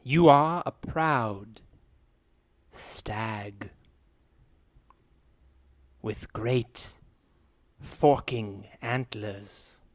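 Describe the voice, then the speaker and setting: A man playing a solemn hypnotist in an improvised comedy podcast, guiding a trance with hushed, portentous delivery and long dramatic pauses. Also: fairly low pitch, dry recording. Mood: solemn, dreamy. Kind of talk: slow guided meditation, improvised comedy.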